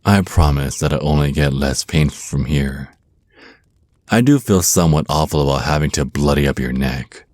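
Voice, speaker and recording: Guy doing a super deep flirty vampire naga, menacing and condescending, asmr roleplay, really dry close sound. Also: a little mellow, no echo. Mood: hungry, seductive. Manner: slow, teasing, condescending, threatening endearments.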